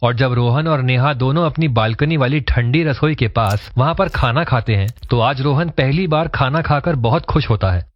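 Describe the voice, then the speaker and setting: A man's voice: medium pitch, moderate pace, hardly any pauses, dry recording. A man reading scripted narration for a cartoon story, in a dull lo-fi recording. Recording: dull lo-fi, dry sound.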